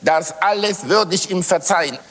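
A man's voice: high voice, moderate pace, lightly acted. A man playing an agitated, indignant literary critic in a heated, emphatic argument. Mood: exasperated. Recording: long hall reverb, loud music.

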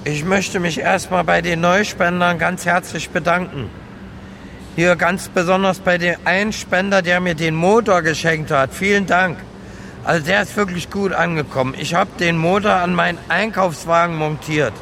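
Earnest homeless man, radio drama; slightly high, plain colloquial voice, clear traffic noise outdoors. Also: steady tone, normal speed, pushed and bright, heavily acted. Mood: grateful. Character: earnest homeless man chasing his dream, grateful. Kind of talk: radio drama acting, interview-like. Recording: noisy outdoor urban street, traffic. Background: vehicle and street noise.

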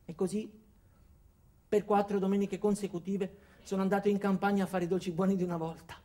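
An actor playing an earnest, insecure office man who narrates his own story in a deadpan, hesitant way in a comic radio drama. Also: high-pitched, brisk, lightly acted. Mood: deadpan, rueful.

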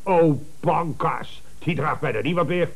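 Radio comedy: a blustering, self-important boss, creaky-voiced, barking orders and scolding. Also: male voice, on the high side, unhurried. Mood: scolding, exasperated. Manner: pompous, domineering, barking orders. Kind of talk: 1970s Dutch radio comedy acting.

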